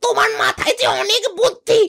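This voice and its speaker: Casting: shrill, bright, greedy sidekick creature, whiny and wheedling. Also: female voice, steady tone, a little fast. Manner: whining, pleading, wheedling. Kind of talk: cartoon voice acting.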